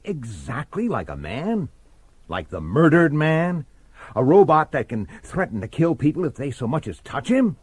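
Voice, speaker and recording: An actor playing a stubborn, suspicious, hot-tempered plainclothes detective in a radio drama, with a blunt, sardonic manner. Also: male voice, mid-range voice, breathy edge, no echo. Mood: incredulous.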